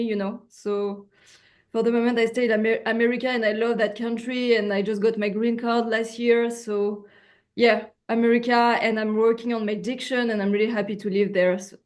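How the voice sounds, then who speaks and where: A French woman speaking English as her second language, an actress chatting casually on a video call, often pausing for words and restarting. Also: on the high side. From France. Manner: searching for words, frequent fillers and restarts. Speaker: actress, guest.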